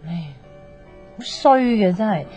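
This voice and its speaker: A woman's voice: medium pitch, expressive, slow, mellow. An actress playing an outspoken, teasing young woman who gives love advice, chatty and sighing, with a Hong Kong Cantonese accent in a radio drama. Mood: chatty, rueful. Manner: chatty, blunt, sighing, mock-exasperated. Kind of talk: naturalistic radio drama acting. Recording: slightly dull, music underneath.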